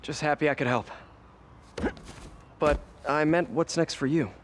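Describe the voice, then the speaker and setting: An actor playing a youthful, kind-hearted superhero in a video game, with an American accent and a casual, wry, friendly way of talking. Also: male voice, a little high, unhurried, close to an everyday voice. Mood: warm, relieved. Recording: clear background noise.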